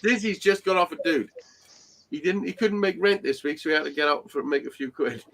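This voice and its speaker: A man's voice: on the high side, fairly quick, vocal fry, a bit bright, a little hiss or hum. A man in his forties, swearing and teasing his co-hosts in casual banter on a live stream. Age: 40s. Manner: crude, teasing, swears freely. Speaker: panel regular.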